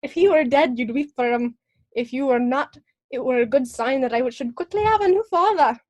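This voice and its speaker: Plucky boy player, voiced by a woman: high, youthful, theatrical stage drama delivery. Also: moderate pace, darkish tone. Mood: defiant. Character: plucky young boy player acting a child. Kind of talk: stage-style audio drama acting. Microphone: video call recording.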